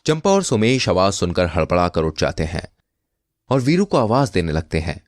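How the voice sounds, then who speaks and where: A man narrating a scripted comic moral tale as an expressive storyteller. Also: sits low, moderate pace, dry recording, studio quality.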